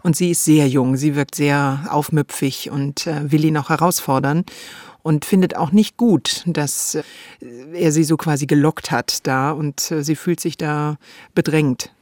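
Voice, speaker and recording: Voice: mid-range voice, steady tone, measured pace, a little mellow, no echo, clean studio sound. A podcast co-host, discussing radio drama conversationally, thinking aloud and giving opinions.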